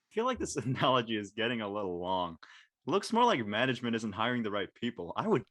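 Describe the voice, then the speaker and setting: A man playing a brash, sarcastic and impatient high school student in a drama read. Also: big pitch swings, fast talker, fairly bright tone. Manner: blunt, sarcastic.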